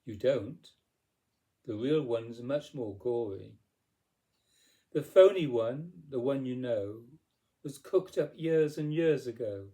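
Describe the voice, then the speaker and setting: Male poetry narrator: unhurried, mellow, mid-pitched, theatrical storybook delivery. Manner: theatrical, deliberate phrasing. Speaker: poetry reader. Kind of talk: dramatic storybook verse narration.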